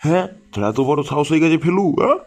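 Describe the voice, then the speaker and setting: A man's voice: fairly high pitch, lively intonation, rapid-fire. A man voicing a strict, hot-tempered schoolteacher in a comic cartoon, scolding and blustering. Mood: angry scolding. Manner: scolding, blustering, coarse-tongued. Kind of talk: comic cartoon dialogue in Bengali village school skit.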